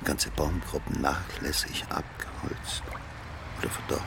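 An actor playing a gloomy man who dictates bleak, deliberate notes in a radio drama, with a gravelly voice. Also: very deep voice, fairly even intonation, very noisy, full character voice. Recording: loud water and outdoor ambience.

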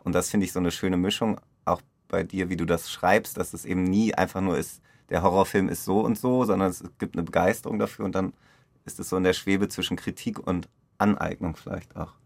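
A man's voice: deep voice, fairly even intonation, a little fast, creaky, dry recording. A man talking casually and reflectively in a conversation about creative work. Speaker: discussion participant on an audio drama.

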